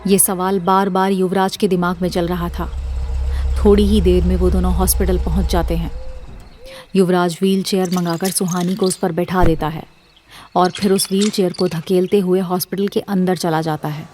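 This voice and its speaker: A woman's voice: fairly low pitch, little pitch movement, normal speed, warm tone, a little hiss or hum. A woman reading scripted narration for an audio drama series.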